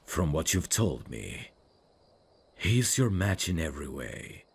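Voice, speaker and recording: A man playing a brooding evil prince, tender and sorrowfully noble, speaking in a slow, formal, wistful way for an intimate ASMR fantasy roleplay. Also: rumbling low, big pitch swings, slightly rough, full character voice. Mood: tender, solemn.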